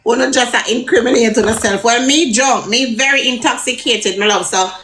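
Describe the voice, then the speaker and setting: A woman's voice: on the high side, moderate pace, a bit bright, a little hiss or hum. A woman from Jamaica with a Jamaican accent, chatting casually and reading out viewer comments. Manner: laid-back, reads out viewer comments. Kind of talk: casual patois chat.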